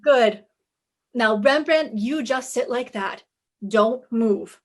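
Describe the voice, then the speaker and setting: A woman's voice: fairly high pitch, takes it slowly. A woman playing a sassy, confident private detective in a 1950s-style radio drama, breezy, wry and commanding. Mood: breezy, bossy.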